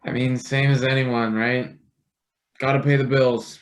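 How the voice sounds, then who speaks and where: A man playing an anxious, evasive young intern in a satirical play reading, speaking haltingly with stammers. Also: medium pitch, little pitch movement, natural voice. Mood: hesitant, guarded. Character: anxious young male unpaid intern, evasive, easily pressured. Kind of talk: table read of a satirical stage play.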